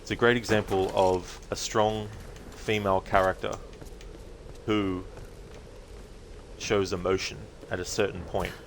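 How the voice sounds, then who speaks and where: A man giving casual, reflective livestream commentary as a game streamer, speaking haltingly in short phrases. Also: deep voice, flat delivery, a little hiss or hum.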